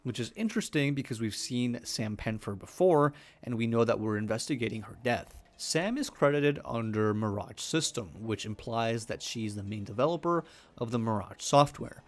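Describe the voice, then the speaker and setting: A man's voice: medium pitch, lively intonation, normal speed. A man narrating a scripted explainer video as its presenter.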